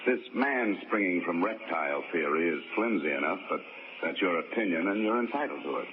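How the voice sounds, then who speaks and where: An actor playing a wry, hard-boiled insurance investigator who narrates and wisecracks dryly, in 1940s radio acting style. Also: male voice, deep voice, expressive, a little fast, slight background noise. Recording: dull old radio broadcast with music.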